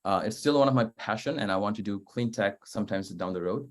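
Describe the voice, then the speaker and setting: Young man, startup founder, low-pitched, hesitant but earnest, webinar panel. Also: quick pace. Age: young adult. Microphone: video call.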